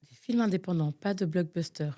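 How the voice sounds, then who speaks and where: Lowish-voiced woman from Nigeria, exasperated. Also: a little fast, mellow. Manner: exasperated, thinks aloud with many fillers. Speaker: podcast co-host. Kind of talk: casual chat, guessing game.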